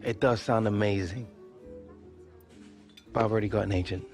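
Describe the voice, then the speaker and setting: An actor playing a modest, easygoing young footballer with a British accent, talking casually. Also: male voice, on the low side, fairly even intonation, normal speed, faint music behind, natural voice. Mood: impressed. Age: young adult. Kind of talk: casual dialogue in game cutscene.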